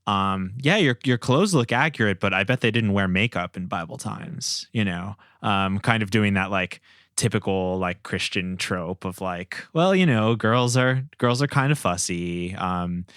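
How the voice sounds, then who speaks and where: A man's voice: lowish voice, unhurried, fairly bright tone, clear, resonant tone, dry recording, clean studio sound. A man hosting a casual podcast discussion in a hesitant, conversational manner. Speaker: podcast host.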